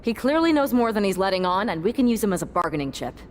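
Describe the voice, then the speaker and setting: A woman's voice: medium pitch, very animated, fast talker, a little hiss or hum, close to an everyday voice. A woman playing a brash, combative wrestler in a video game cutscene, talking in a punchy, confrontational way. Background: music.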